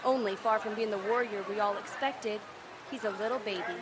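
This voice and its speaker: An actress playing an eager field news reporter who keeps pressing with questions in a live radio drama. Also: female voice, mid-range voice, steady tone, heavy background noise, natural voice. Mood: reporting. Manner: brisk, pressing reporter delivery.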